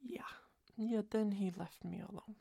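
A young woman doing a soft, close-mic whispered girlfriend roleplay, rambling and doting, a little tipsy. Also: medium pitch, measured pace, creaky, husky, no room sound. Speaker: ASMR roleplayer.

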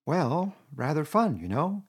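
A man voicing a gentle, loyal little male mole in a storybook reading, soft and wistful. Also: on the high side, very animated, unhurried, breathy edge, voice pushed up, heavily acted.

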